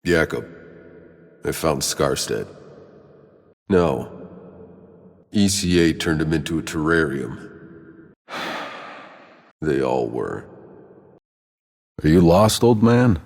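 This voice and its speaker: Very deep, gravelly cynical mercenary. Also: male voice, darkish tone, big-room sound, heavily acted. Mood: weary, guarded. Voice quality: gravelly, husky. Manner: sardonic, gruff, laconic. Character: weary, cynical, foul-mouthed male sci-fi soldier mercenary. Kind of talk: video game voice acting.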